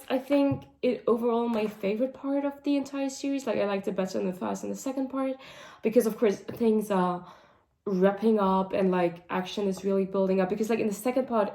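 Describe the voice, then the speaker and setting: A woman with a hoarse, raspy voice talking casually through a book wrap-up, with lots of "like" and "um" fillers. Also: medium pitch, mellow. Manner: rambling, full of "like" and "um" fillers. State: hoarse, voice sounding deep and raspy. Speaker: book vlogger. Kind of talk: casual monthly reading wrap-up chat. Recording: roomy echo.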